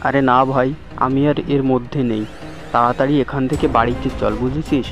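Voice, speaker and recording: A man's voice: medium pitch, fairly even intonation, a little fast, highs cut off, music in the background, close to an everyday voice. Cartoon casting: man acting a worried, principled young village fellow in a mid-range male voice, protesting anxiously. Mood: uneasy, protesting. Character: timid, honest young village man, the schemer's friend. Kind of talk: cartoon dialogue.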